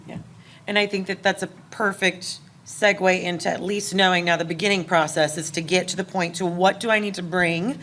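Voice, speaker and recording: A woman's voice: lowish voice, fairly even intonation, a little fast, a bit bright. A woman moderating a live convention panel in a conversational style, setting up questions for the guests. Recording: noticeable ambient event noise.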